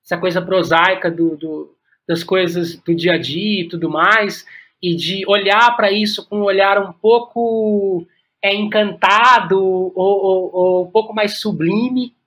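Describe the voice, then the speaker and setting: A man's voice: high-pitched, fairly even intonation, fairly bright tone. A Brazilian man talks as a guest in an interview. He speaks in a reflective, searching way, often stopping to repeat a word before he finds the rest of his thought. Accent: Brazilian Portuguese. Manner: halting and searching, repeats words as thinking aloud. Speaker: podcast guest, playwright and director.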